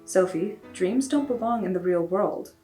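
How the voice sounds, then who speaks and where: A woman voicing a stern but gentle mother who speaks in a firm, measured way. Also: mid-range voice, faint music behind, lightly acted.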